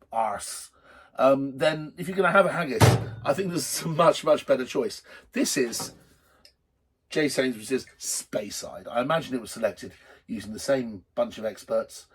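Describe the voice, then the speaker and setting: A middle-aged man presents a drinks show straight to camera. His voice is husky and sits at an ordinary male pitch. He talks off the cuff, rambling and joking, with blunt, sweary asides. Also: a bit bright. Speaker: host of a drinks video show. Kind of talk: casual, unscripted chat to camera.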